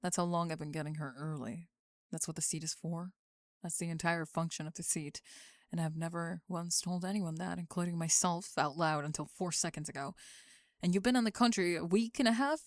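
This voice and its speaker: A woman's voice: lowish voice, brisk, dry recording, clean studio sound, natural voice. A woman playing a guarded, easily flustered bookish young bully in an ASMR audio drama, terse and defensive in how she talks. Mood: vulnerable, confessing.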